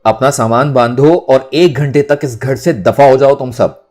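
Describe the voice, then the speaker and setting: A man's voice: mid-range voice, unhurried, a little mellow, soft background music, close to an everyday voice. A man voicing a furious, tyrannical old family patriarch in an audio story, with harsh, commanding threats. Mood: furious, threatening.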